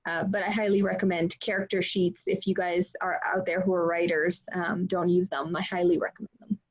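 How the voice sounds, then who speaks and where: A woman's voice: on the low side, little pitch movement, a little fast. A woman speaking chattily and enthusiastically as an author on a casual online panel, over a video call. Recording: dull video-call audio.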